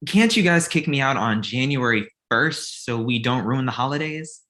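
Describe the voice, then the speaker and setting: A man acts out a naive son in his thirties, earnest about Christmas and a little whiny. The voice is bright and forceful, and it swings up and down freely through the comedy script. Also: medium pitch, expressive, normal speed, lightly acted. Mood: hurt, naive. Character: naive thirty-six-year-old son, childishly devoted to Christmas and Santa. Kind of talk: comedy script table read.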